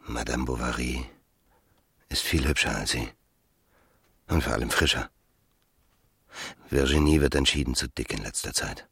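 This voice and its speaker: A cynical, smooth-tongued seducer in a radio drama. The voice is extremely low and crackles with fry, intimate and calculating. It is recorded close and dry. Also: male voice, normal speed, pauses often, bright, forceful tone, no room sound, full character voice. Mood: calculating, musing. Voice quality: gravelly, husky. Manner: intimate, murmuring, drifting into whispers. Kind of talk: German radio play acting.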